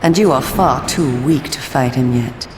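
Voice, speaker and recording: A commanding female vampire from a video game. Her low voice is grave and deliberate, stern yet persuasive. It echoes, with noise behind it. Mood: stern warning. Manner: solemn, measured, persuasive. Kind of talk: dramatic video game voice acting.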